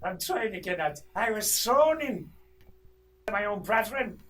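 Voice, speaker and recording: A man's voice: sits high, very animated, a little slow, fairly bright tone, distant mic, slight background noise. A man reading dramatic lines in a recording session, stumbling and repeating words between takes. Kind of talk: dramatic line reads and flubbed takes.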